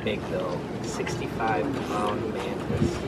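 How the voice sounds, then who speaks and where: Man in his thirties, casual sailing vlogger, chatty, outdoors on a dock, loud wind and water noise behind. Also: lowish voice, little pitch movement, takes it slowly. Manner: chatty and joking, talks with his hands. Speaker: sailing vlog host. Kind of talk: casual on-camera explaining. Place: outdoor marina dock beside boats. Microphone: camera mic from a few steps away.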